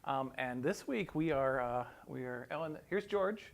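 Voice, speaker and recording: A man hosting a talk show in casual, informal chat, somewhat halting. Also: mid-range voice, expressive, gravelly. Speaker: podcast host. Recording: faint background noise.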